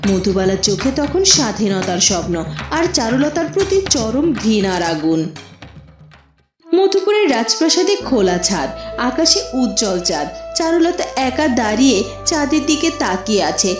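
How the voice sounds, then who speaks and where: A female narrator tells a cartoon tale dramatically. Her high voice swings widely in pitch. It has a roomy echo over music. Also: big pitch swings, moderate pace. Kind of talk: dramatic scripted story narration.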